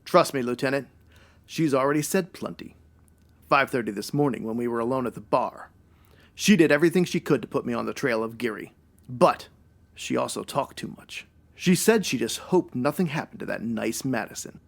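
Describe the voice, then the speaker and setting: A man's voice: mid-range voice, lively intonation, normal speed, vocal fry. A man playing a wry, self-assured ex-cop private detective in a 1940s radio noir style, full of wisecracking slang. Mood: explaining, confident. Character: male ex-cop private detective, wry, hard-boiled, sure of himself.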